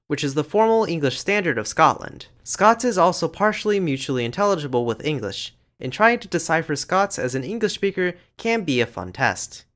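Guy doing a language explainer voice-over, kinda high voice, bouncy delivery. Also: a bit bright. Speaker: language channel narrator. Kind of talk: scripted educational narration.

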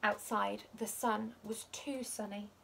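A young woman reading a children's picture book aloud like a teacher, expressive and measured. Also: mid-range voice, vocal fry, breathy edge. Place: living room by a fireplace.

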